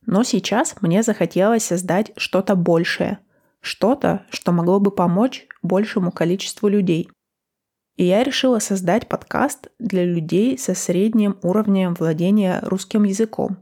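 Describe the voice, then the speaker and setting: Calm, mellow-voiced Russian podcast host woman. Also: mid-range voice. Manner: calm, friendly and encouraging. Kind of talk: slow, clear podcast talk for language learners.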